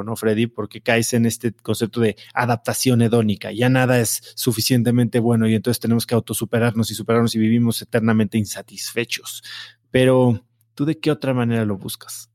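A man's voice: mid-range voice, a little mellow. A man hosting an interview, asking curious, inviting questions in a casual way.

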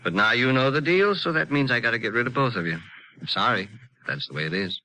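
A piercingly forceful, mid-pitched American villain, a smug crook boss. He is cold, mock-polite and coolly menacing in old radio drama style, on a vintage broadcast recording. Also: lively intonation, fast talker, low-quality recording. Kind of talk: 1950s radio drama acting.

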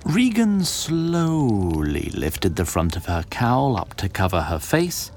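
A man narrating a scripted story as a deliberate, theatrical storyteller. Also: on the low side, huge pitch swings, a little mellow, slight background noise.

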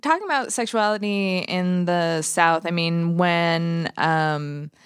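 A woman hosts a casual conversation in a somewhat low, smooth voice. She talks thoughtfully, pausing on fillers and restarting sentences. The sound is dry and close, with no room echo.

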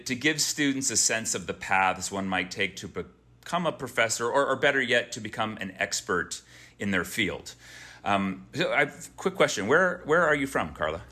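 Male podcast co-host, low but bright tone, friendly, stumbling restarts. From Oakland, California. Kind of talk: podcast interview.

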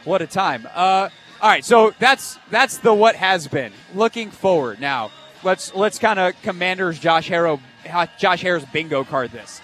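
A male sports radio host with a slightly high voice talks fast and emphatically, broadcasting live from an event. Faint music plays behind him. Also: expressive, fairly bright tone.

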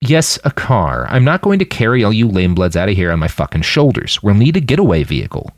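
A man's voice: lowish voice, sing-song, fairly quick, no echo, studio quality, pitched above the performer's own voice. A man voicing a casual, profane, cheerfully callous post-human fighter in an audiobook story. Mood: bossy, impatient. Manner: casual, profane, sardonic, offhand. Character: gruff irreverent blade-armed post-human male fighter, cheerfully callous. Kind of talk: fiction audiobook character voice.

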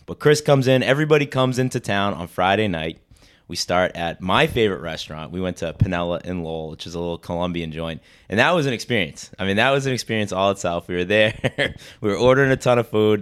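Hoarse, low-voiced man hosting a podcast. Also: fairly bright tone. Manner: laughing often, rambling storytelling. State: hoarse, losing his voice. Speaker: podcast host. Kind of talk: casual chat. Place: living room.

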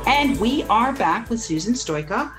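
A woman's voice: fairly high pitch, expressive, measured pace, over music. A woman hosting a podcast, interviewing a guest and making upbeat announcements. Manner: upbeat, promotional. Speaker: podcast host.

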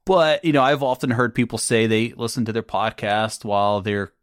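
A man hosting a podcast interview, asking measured, thoughtful questions. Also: medium pitch, fairly even intonation, no echo, studio quality. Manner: measured, thoughtful, with small restarts. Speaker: podcast host.

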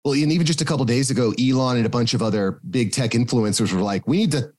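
A man's voice: medium pitch, fast talker, a little mellow. Eager man in casual chat. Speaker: podcast agency owner.

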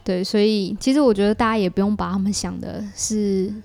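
A woman's voice: mid-range voice, fairly even intonation, a little fast, a little mellow. A woman with a Taiwanese Mandarin accent, explaining things in a casual interview as a podcast guest. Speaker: podcast guest, creator of an audio theatre work.

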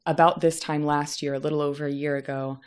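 Female interview host, low-pitched, chatty and animated. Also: quick pace, smooth. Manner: chatty, animated, many fillers like "you know". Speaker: podcast host. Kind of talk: casual interview conversation.

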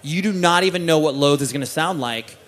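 A man's voice: a little high, a little fast, a bit bright, slight background noise. Meandering male interview host. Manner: meandering, hesitant, many "uh" and restarts while framing questions. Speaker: podcast host. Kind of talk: casual interview chat.

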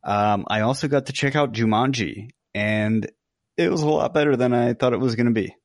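A man's voice: mid-range voice, normal speed, no echo, clean studio sound. A man co-hosting a podcast in casual conversation. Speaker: podcast co-host. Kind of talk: casual chat.

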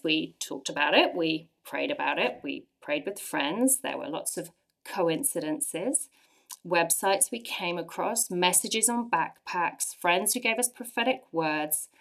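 An English-accented woman in her forties gives a personal testimony to a church audience. Her voice sits a little low, and her storytelling is earnest, with lively bursts of emphasis. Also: a little slow. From England. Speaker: church speaker sharing testimony.

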